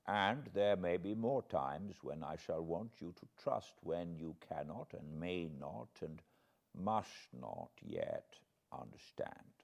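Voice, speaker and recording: A man voicing a solemn, slow-spoken old professor. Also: sits low, sing-song, vocal fry, full character voice. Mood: grave, earnest. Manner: solemn, gentle, halting foreign phrasing. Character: grave, kindly old professor, foreign-born, earnest.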